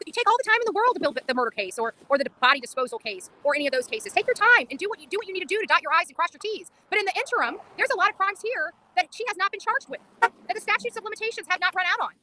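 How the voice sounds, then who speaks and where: A woman's voice: very high-pitched, lively intonation, racing, bright, forceful tone. A woman livestreaming an impassioned, insistent monologue outdoors on a street, repeating phrases for emphasis.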